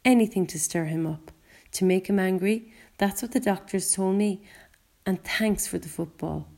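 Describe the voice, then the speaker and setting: A woman's voice: mid-range voice, gravelly, mellow. A woman voicing an anxious mother in an audiobook story, earnest and urging as she speaks to those around her. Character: anxious, pleading mother at her sick son's bedside.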